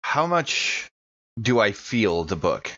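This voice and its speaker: A man's voice: on the low side, very animated, takes it slowly, sharp tone, dull audio. A man playing a tabletop role-playing game, speaking earnestly and deliberating as he talks in character. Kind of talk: in-character roleplay and game talk.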